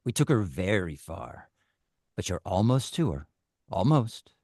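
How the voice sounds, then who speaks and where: A man's voice: low-pitched, sing-song, measured pace, darkish tone, no room sound, clean studio sound, exaggerated voice. A man playing a cold, controlling kidnapper in a screenplay table read, terse and commanding. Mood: falsely soothing. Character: cold, controlling male kidnapper calling his victim "Mother".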